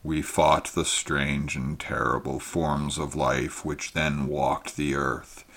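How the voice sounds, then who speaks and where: An ancient, blind, tortured creature from another world speaks, as a male narrator puts it on, its words hushed and mournful, sometimes sinking almost to a whisper. The voice is extremely deep and unhurried. It sounds weighed down by endless suffering. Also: level tone, heavily acted. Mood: solemn sorrowful. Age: very old figure. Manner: hushed, mournful, breathy at times.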